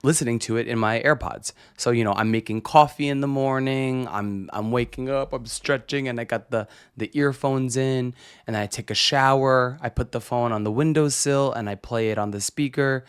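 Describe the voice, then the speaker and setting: A man's voice: mid-range voice, normal speed, clear, resonant tone. A young adult man hosting a podcast, talking casually on his own with lots of "right?" tags and restarts. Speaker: podcast host. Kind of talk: casual solo podcast monologue.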